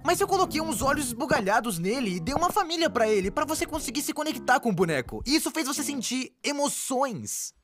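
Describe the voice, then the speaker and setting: A high, very animated young cartoon narrator , voiced by a woman, chats through an explainer. The delivery is cheeky, exclamatory and casual, aimed right at the viewer, with light music underneath. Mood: playful, explaining. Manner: chatty, exclamatory, addresses the viewer directly.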